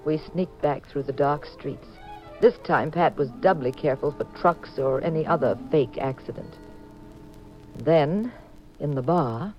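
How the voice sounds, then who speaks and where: An actress playing a spirited, curious detective's wife in a 1950s radio mystery, on a dull old recording with music. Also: female voice, deep voice, unhurried. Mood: storytelling. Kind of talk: 1950s radio drama acting.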